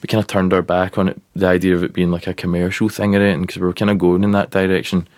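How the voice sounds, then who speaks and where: Glaswegian guy in his 30s, really low voice, chatty and rambly, lots of "like". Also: racing, dry recording, clean studio sound. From Scotland. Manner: relaxed, rambling, lots of "like" and "kinda". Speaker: musician guest. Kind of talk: casual interview chat.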